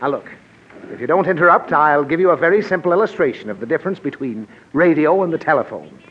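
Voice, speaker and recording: Man doing a harried, lecturing dad, lively fairly high voice. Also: fast talker, lightly acted. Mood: exasperated. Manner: blustering, lecturing, easily flustered. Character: exasperated middle-aged father. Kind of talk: 1940s radio comedy acting. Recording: dull old radio broadcast, faint hiss.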